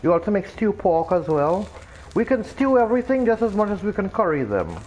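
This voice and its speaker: A man's voice: high-pitched, a little fast, a little hiss or hum, poor audio. Trinidadian man, rambling casual chat. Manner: rambling, animated explaining with many restarts. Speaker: streamer. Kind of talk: casual chat while gaming.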